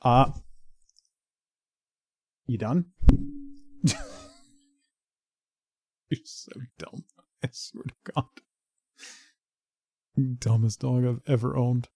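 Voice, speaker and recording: Gravelly, mellow man chatting on a stream. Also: medium pitch, steady tone, extremely slow, long pauses, no echo. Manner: rambling, joking, mock-scolding. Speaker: game streamer. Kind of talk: casual livestream chat. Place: indoors at home. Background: faint music.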